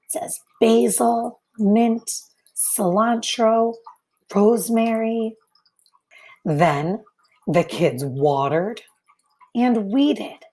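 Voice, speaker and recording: Very slow-paced woman reading a storybook aloud. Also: on the high side, dark tone, poor audio. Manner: deliberate phrasing with many pauses between short phrases. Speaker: teacher reading a picture book aloud to children. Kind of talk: read-aloud storytelling with brief asides to young listeners.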